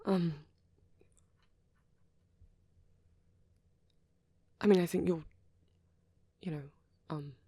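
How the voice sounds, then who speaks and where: Woman voicing a shy, halting, low-pitched vampire boy. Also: steady tone, dark tone, slightly rough, no room sound, studio quality. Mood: flustered, affectionate. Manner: hesitant, halting, rambling, nervous. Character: shy, bashful, awkward young vampire boyfriend, male. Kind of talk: ASMR roleplay.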